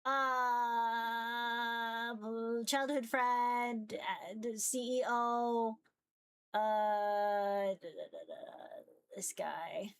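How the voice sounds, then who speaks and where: A woman's voice: on the high side, fairly even intonation, very slow, clean tone. A woman chatting casually to viewers as a livestreamer, informal and rambling, with a giggly manner. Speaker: visual novel streamer.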